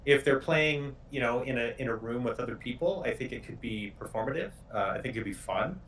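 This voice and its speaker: A man's voice: medium pitch, lively intonation, a little fast, fairly bright tone, slight background noise. A male game developer talking casually in an interview. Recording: distant mic.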